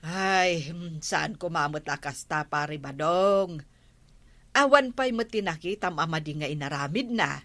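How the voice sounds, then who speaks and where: An actress playing a kindly, talkative older village woman in a radio drama, with a motherly, expressive manner. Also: deep voice, unhurried, dry recording, exaggerated voice. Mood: gossipy, probing.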